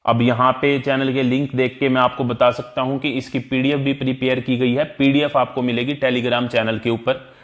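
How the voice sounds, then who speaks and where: A man's voice: mid-range voice, level tone, normal speed. A man with an Indian accent giving an explanatory exam-prep lesson as an instructor, repeating key terms in a didactic way. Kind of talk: explanatory exam-prep tutorial, mixing Hindi and English.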